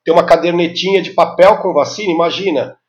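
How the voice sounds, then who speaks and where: A man's voice: fairly high pitch, quick pace. A Brazilian man in his fifties explaining things as an interview guest, didactic, often adding short tag questions to check the listener follows. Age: 50s. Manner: didactic, with frequent tag questions seeking agreement. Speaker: interview guest, health informatics specialist. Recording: dull, lo-fi audio.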